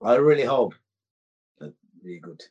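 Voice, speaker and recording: A Finnish-accented man guests on a podcast and talks slowly in English. He stops and starts and repeats words as he hunts for the right phrase. His voice sits at an ordinary middle pitch. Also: lively intonation, darkish tone, breathy edge, dull audio. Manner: halting, searches for words. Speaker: band member, guest. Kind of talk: casual interview chat.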